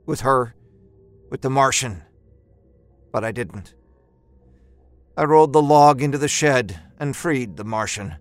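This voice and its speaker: A man reading a first-person horror story as a storyteller, in a measured, expressive and dramatic way. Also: mid-range voice, smooth, no echo. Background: musical score.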